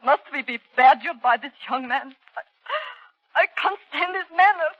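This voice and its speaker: A woman's voice: high-pitched, lively intonation, moderate pace, no echo, dull audio. An actress playing a haughty, grieving society stepmother, theatrical and indignant in 1930s radio style. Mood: defensive, indignant. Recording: thin, band-limited 1930s radio.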